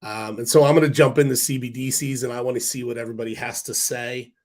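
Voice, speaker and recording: A man hosting a casual stock-market livestream, hoarse from a cold, rambling with fillers and restarts while answering viewer comments. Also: mid-range voice, steady tone, fast. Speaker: stock stream host.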